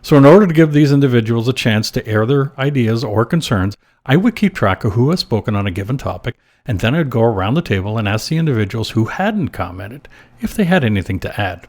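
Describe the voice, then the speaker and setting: A man hosting a short advice podcast, reading scripted tips in a measured, instructional manner. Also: mid-range voice, animated, darkish tone.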